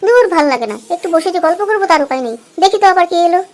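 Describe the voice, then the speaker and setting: Female performer as nagging young wife, squeaky-high voice, speedy talk, comedy cartoon. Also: expressive, rapid-fire, a little mellow, a little hiss or hum. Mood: irritated. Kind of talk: amateur comedy cartoon dialogue.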